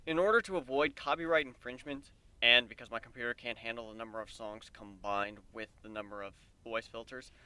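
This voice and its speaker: A man's voice: mid-range voice, sharp tone, clear, resonant tone, no echo. A man giving a dramatic first-person reading of a story as its narrator.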